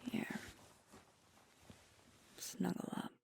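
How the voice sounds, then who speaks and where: A woman playing a sweet, protective, gently firm werewolf girlfriend in an ASMR roleplay, soothing and coaxing in a breathy whisper. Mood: tender, soothing. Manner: soothing, coaxing, reassuring, deliberate pauses.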